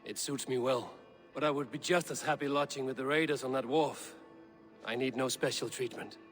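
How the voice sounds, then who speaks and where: An actor playing a loyal Viking warrior, measured and plain-spoken, in a dramatic game cutscene. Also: male voice, medium pitch, fairly even intonation, fairly bright tone, faint music behind, close to an everyday voice. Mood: modest. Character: loyal, steady young Viking warrior and raid leader.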